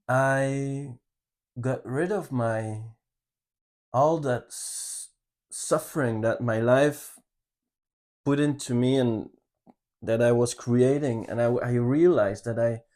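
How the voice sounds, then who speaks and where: A man in his thirties with a Flemish Belgian accent talks in an unhurried, measured way. His delivery is earnest and halting, with frequent restarts, as if he is choosing each word with care. His voice sits at an ordinary middle pitch, and faint guitar plays behind him. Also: darkish tone. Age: 30s. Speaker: channel co-host. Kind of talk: personal life story, casual talk.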